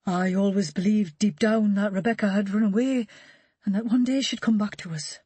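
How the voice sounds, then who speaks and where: A woman's voice: mid-range voice, fairly even intonation, normal speed, warm tone, breathy edge, no room sound, pitched above the performer's own voice, close to an everyday voice. Grieving father, rambling and wistful, read by a woman narrator. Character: grieving father of a lost girl, talkative.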